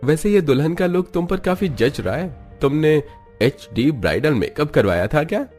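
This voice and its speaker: A man's voice: fairly high pitch, expressive, moderate pace, a little mellow, soft background music, close to an everyday voice. A man voicing a friendly, admiring young newlywed husband in a cartoon family drama.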